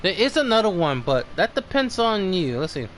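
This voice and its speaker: A man hosting a game livestream in casual chat, thinking aloud in a halting way. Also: high voice, big pitch swings, soft background music.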